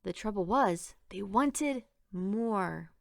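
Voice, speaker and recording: A woman reads a rhyming picture book aloud for children. She takes her time, shaping each line with an expressive, sing-song lilt. Her voice sits at an average pitch in a dry sound with little room on it. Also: slow pace, creaky, dry recording. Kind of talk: read-aloud children's storytelling in rhyme.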